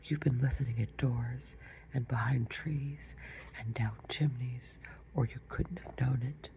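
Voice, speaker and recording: A woman's voice: a bass voice, steady tone, a little slow, creaky, mellow, a little hiss or hum, heavily acted. A woman whispering as Humpty Dumpty, pompous egg. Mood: indignant. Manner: proud, argumentative. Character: pompous touchy egg man on a wall. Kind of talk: whispered storybook reading.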